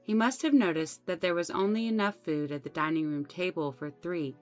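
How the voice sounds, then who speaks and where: A woman's voice: on the low side, brisk, faint music behind. A woman reading a scripted first-person family story as its narrator.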